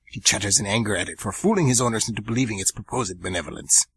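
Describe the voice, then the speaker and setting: A man's husky, fairly low voice with a bright, forceful edge tells the story in a dramatic, ominous way. Every line sounds like a tense hunt unfolding. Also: quick pace, low-quality recording. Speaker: narrator. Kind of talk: scripted narration for an amateur radio drama.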